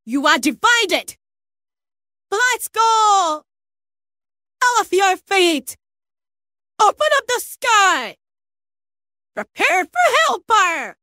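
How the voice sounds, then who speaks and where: A woman's voice: extremely high pitch, big pitch swings, drawn-out words, pauses often, pushed and bright, dry recording, studio quality, full character voice. A woman voicing a fierce female video game fighter, delivering theatrical, menacing, commanding battle taunts. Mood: battle cry. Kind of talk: performed video game voice lines.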